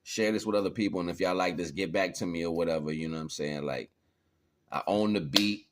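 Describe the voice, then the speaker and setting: A man's voice: low-pitched, fast. An American man chatting casually on a livestream as a musician, slangy and laid-back. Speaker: streamer and musician presenting own song.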